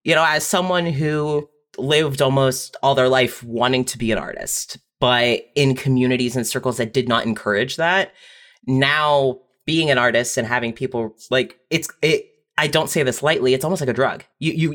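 A writer talking casually and reflectively in a podcast panel, haltingly rephrasing points for emphasis. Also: medium pitch, a bit bright. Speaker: audio fiction writer on a podcast.

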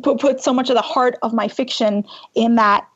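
A woman's voice: on the high side, fairly even intonation, moderate pace, slightly rough, muffled recording. A woman from Iran speaking as an interview guest, thinking aloud with hesitant, reflective phrasing. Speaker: podcast guest, writer.

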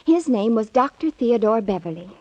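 An earnest, anxious young woman in an old radio mystery. Her voice is fairly high and quite breathy and husky, and she speaks in a pleading, hesitant way. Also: expressive, normal speed, warm tone, muffled recording. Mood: nervous. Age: young adult. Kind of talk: 1940s radio mystery acting.

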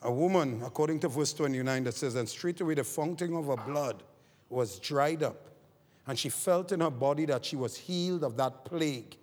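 A man from Barbados with a Barbadian accent, preaching a sermon, moving from quiet scripture reading to fervent exhortation. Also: on the high side, normal speed. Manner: reads scripture quietly, then rises to fervent shouted preaching. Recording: long hall reverb.

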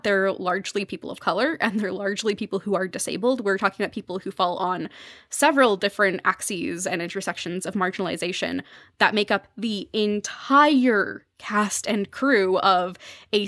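A woman's voice: mid-range voice, fairly bright tone, no room sound, studio quality. A woman speaking conversationally as a podcast guest, explaining things in a thoughtful, articulate way.

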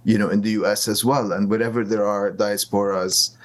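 Man from lebanon, professor guest, low voice, halting with restarts and fillers. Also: little pitch movement. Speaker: professor of Middle Eastern studies. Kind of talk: interview guest.

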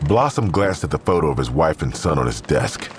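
A man's voice: a bass voice, sing-song, fairly quick, noisy background. A man narrating a scripted crime audio drama in the third person.

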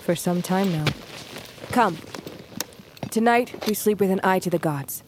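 An actress playing a fierce, embittered and defiant young huntress princess in a fantasy audio drama, speaking with intense, archaic phrasing. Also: female voice, mid-range voice, a little slow, heavy background noise, close to an everyday voice. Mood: wary.

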